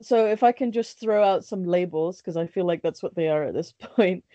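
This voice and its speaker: A woman speaks openly as a podcast guest, full of fillers and quick restarts. Her voice is mellow and warm. The recording is dry and a little dull, with a lo-fi edge. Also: on the low side, no echo. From born in Bangladesh, raised in London. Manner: candid, chatty, many restarts and fillers. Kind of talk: casual podcast interview.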